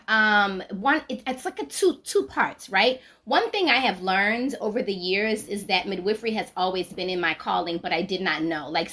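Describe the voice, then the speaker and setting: A woman's voice: mid-range voice, a bit bright. Chatty middle-aged New woman. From New Orleans, USA. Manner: chatty and storytelling, with frequent ums and "right?". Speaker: podcast guest, midwife and founder. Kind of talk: casual interview chat.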